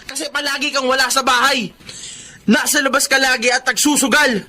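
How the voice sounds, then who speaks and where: A man's voice: very high voice, fairly even intonation, fairly quick, bright, forceful tone, a little hiss or hum, heavily acted. A man acting an aggrieved, weary husband and father in a Tagalog radio drama, with emphatic delivery. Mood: accusing, bitter.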